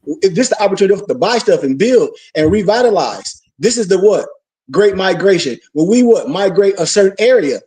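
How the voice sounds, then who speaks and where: A man's voice: high voice, lively intonation, fairly quick, mellow, far from the mic. A man giving an informal religious lecture, preachy, full of rhetorical questions and call-and-response. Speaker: religious teacher.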